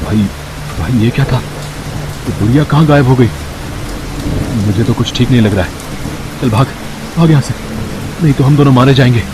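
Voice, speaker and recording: A man voicing an anxious, excitable young man who talks casually and dramatically to his friend in an audio story. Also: mid-range voice, moderate pace, pauses often, noisy background, close to an everyday voice. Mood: panicked. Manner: colloquial, worried, dramatic.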